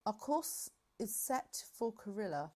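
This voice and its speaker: A woman's voice: mid-range voice, very animated, measured pace, darkish tone. A woman playing a resolute, dignified starship general in a sci-fi fan roleplay, formal and authoritative. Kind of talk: scripted fan audio roleplay.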